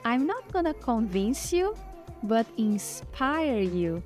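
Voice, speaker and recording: A woman in her thirties whose first language is Portuguese, narrating a relaxed lifestyle vlog in a chatty way and restarting words now and then. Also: fairly high pitch, slow pace. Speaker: lifestyle vlogger. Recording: soft background music.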